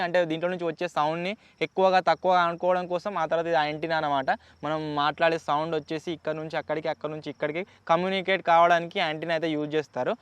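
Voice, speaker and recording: A man's voice: a little high, clear, resonant tone, no room sound. A man with a Telugu accent hosting a casual unboxing vlog, chatty and explanatory, mixing in English words. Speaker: YouTube host.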